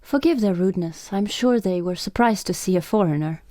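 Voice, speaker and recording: An actress plays a gracious Japanese innkeeper in a radio drama. Her voice is mellow and soft-edged, recorded dry and close, with formal, measured courtesy. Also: medium pitch, dry recording, natural voice. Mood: soothing. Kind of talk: radio play acting.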